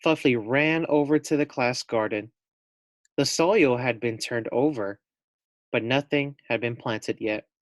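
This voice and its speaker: A man reads a children's story aloud at a slow pace, in a middling pitch. The recording is close and dry, and he speaks simply and directly, like a teacher with young pupils. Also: no echo. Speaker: teacher reading aloud to first graders. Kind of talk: expressive storybook read-aloud with casual asides to young listeners.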